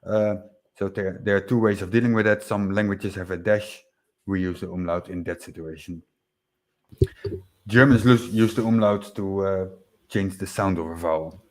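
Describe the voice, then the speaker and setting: A man's voice: sits low, fairly even intonation, moderate pace, darkish tone. A man with a Dutch accent, a native Dutch speaker, chatting casually on a livestream, reading viewer comments with many fillers, restarts and chuckles. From Netherlands. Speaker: livestream host.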